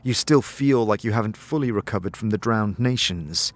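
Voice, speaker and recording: A man giving dramatic, descriptive second-person narration for a fantasy audio drama, deliberate and theatrical. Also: fairly low pitch. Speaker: narrator and game-story performer. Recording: faint music behind the voice. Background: faint music.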